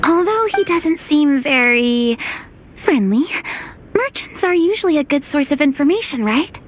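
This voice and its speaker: A woman's voice: sits high, animated, unhurried, slight background noise. An actress playing a sensible, analytical young woman in a video game, talking in a thoughtful, matter-of-fact way. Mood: thoughtful, practical.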